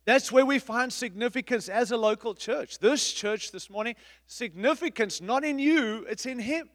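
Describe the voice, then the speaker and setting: High-voiced middle-aged man preaching. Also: moderate pace, long reverb tail. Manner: emphatic, repetitive preaching with swings in loudness. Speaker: pastor. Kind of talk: sermon. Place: church.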